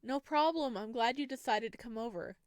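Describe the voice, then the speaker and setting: A woman's voice: fairly high pitch, fairly quick, dry recording, clean studio sound, close to an everyday voice. A woman voicing a friendly, inviting young student in a visual novel, in a casual, encouraging way.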